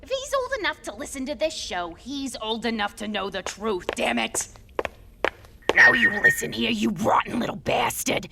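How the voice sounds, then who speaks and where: A woman's voice: a little high, very animated, moderate pace, creaky, hardly any pauses, noisy background. A woman playing a cranky, sarcastic, foul-mouthed old undead organist in a comic horror radio show. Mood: irate. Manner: blunt, sarcastic, cantankerous.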